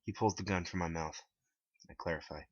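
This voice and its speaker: A man reading his own story aloud as a scripted dramatic narration in a deep voice. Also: brisk, slightly rough, no room sound. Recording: dull lo-fi, dry close recording.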